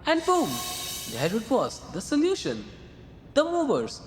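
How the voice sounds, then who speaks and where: A wry, patient male muse, acted by a man in a radio drama, coaxing and gently teasing. His voice sits high and swoops about lively. It rings with a long reverb over faint noise. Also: unhurried, faint music behind, heavily acted. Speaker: the writer's muse.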